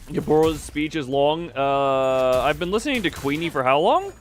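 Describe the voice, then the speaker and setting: A man with a fairly high voice commentates on his game livestream over music. He thinks aloud in a casual, rambling way, often letting sentences trail off unfinished. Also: animated, moderate pace.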